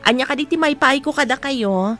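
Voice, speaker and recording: A woman's voice: sits high, a little fast, fairly bright tone, soft background music. A woman playing a protective, burdened eldest sister in a radio drama, speaking in a guarded, serious way. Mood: troubled.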